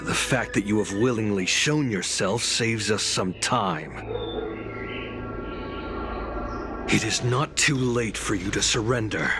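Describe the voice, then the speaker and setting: An actor playing a weary, stern military investigator in a video game, dry and coolly threatening. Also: male voice, fairly low pitch, moderate pace, lightly acted. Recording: music behind the voice. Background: game music.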